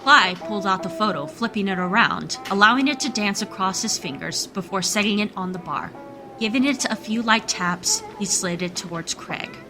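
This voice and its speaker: A woman's voice: medium pitch, normal speed, over music, natural voice, poor audio. A woman reading scripted third-person narration as the storyteller of a noir mystery radio play. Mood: storytelling.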